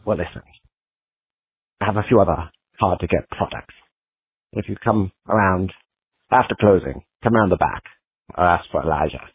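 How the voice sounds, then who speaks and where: Man acting husky 1940s storekeeper on tinny line. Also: low-pitched, moderate pace, long pauses, heavily acted. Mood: discreet, confiding. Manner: hesitant, folksy, formally polite shop talk. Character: earnest, easily baffled 1940s general store keeper. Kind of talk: old-time radio comedy acting. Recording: muffled tinny old telephone line.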